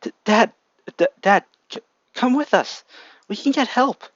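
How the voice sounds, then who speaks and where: A man voicing a frightened, tearful young boy, speaking in a high, stammering, urgent voice. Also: lively intonation, measured pace, a bit bright, dry recording, dull audio, heavily acted. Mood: desperate.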